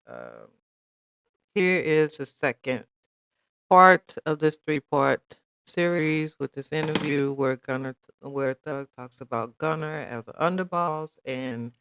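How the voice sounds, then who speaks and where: A man's voice: mid-range voice, clean tone, no room sound, highs cut off. A man hosting his channel, introducing a clip in a laid-back, chatty way. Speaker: channel host. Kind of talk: casual vlog intro.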